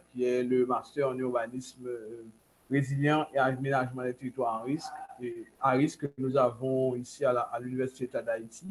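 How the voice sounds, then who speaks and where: Man, conference host, mid-range pitch, introducing a speaker, halting phrasing with restarts, video call. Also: darkish tone, rough recording. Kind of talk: spoken introduction on an online conference.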